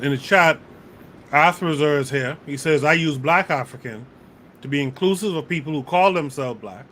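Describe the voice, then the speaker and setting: Livestream host reading chat aloud. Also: male voice, medium pitch, fairly bright tone, slight background noise. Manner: measured, reads comments aloud and passes questions on.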